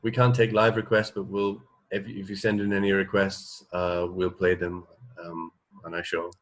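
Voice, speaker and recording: Hesitant, low-pitched male radio co-host. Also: little pitch movement, normal speed. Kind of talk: casual chat.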